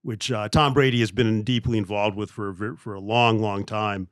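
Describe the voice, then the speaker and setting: A man's voice: on the low side, fairly even intonation, moderate pace, clean tone, no room sound. A man hosting a podcast in a studio, agreeable and hesitant, often restarting his sentences and laughing easily. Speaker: podcast host. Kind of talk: casual podcast chat.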